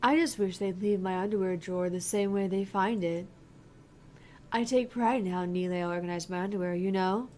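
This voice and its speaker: A woman's voice: medium pitch, brisk, darkish tone, close to an everyday voice. A woman voicing a cheerful, airy young female pop idol in a video game, earnest and breezy. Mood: proud, matter-of-fact. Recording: clear background noise.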